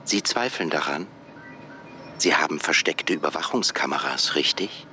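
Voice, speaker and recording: Very deep probing computer voice. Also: male voice, expressive, normal speed, sharp tone, slight background noise, heavily acted. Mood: probing, composed. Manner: formal, pointed questioning. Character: probing, unflappable male AI system posing as job applicant. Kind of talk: radio drama acting.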